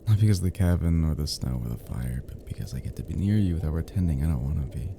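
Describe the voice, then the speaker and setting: A man playing a tender, flirty best friend in an intimate ASMR roleplay, murmuring softly and affectionately as he soothes the listener to sleep. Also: rumbling low, lively intonation, quick pace, vocal fry, noisy background, heavily acted. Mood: tender, confessional. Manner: soft, murmuring, gently teasing, affectionate.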